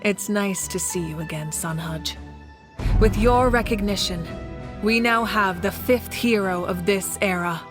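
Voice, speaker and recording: A woman's voice: mid-range voice, measured pace, close to an everyday voice. A woman playing a composed, knowing leader in a fantasy game, speaking in a poised, explanatory way. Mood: pleased, composed. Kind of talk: scripted fantasy game acting. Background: faint music.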